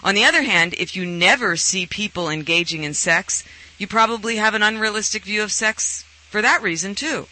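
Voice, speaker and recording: A man's voice: sits high, normal speed, bright, forceful tone, rough recording. A man hosting a call-in talk show, giving advice in a conversational style.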